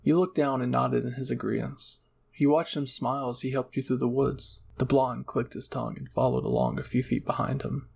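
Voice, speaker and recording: A man's voice: mid-range voice, steady tone, normal speed, vocal fry, darkish tone, breathy edge. A man narrating a second-person roleplay story as a channel host.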